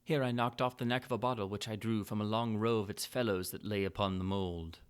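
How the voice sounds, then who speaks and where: A man's voice: on the low side, fairly even intonation, brisk, smooth. A man narrating a classic Gothic tale as a dramatic reading, in a theatrical, formal manner. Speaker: amateur reader of classic tales.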